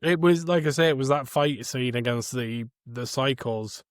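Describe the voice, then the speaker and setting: A man co-hosting a podcast in casual film chat, halting and repeating phrases as he argues. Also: mid-range voice, no room sound, clean studio sound. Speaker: podcast co-host.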